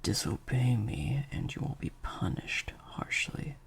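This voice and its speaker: Man voicing a slow, creaky, whispering vampire master. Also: mid-range voice. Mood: controlled, threatening. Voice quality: breathy, creaky half-whisper. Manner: slow, deliberate, hushed delivery with drawn-out pauses. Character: slightly sadistic male vampire master, controlling, coldly menacing captor. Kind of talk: intimate improvised audio roleplay addressed to the listener.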